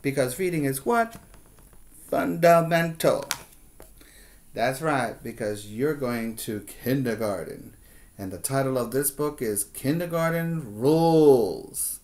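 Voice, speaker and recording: A man's voice: medium pitch, a little mellow. A middle-aged man, a preschool teacher, reading a storybook aloud and putting questions to his young pupils. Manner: slow, deliberate reading with questioning asides. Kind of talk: children's story read-aloud with asides to pupils. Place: small plain room indoors.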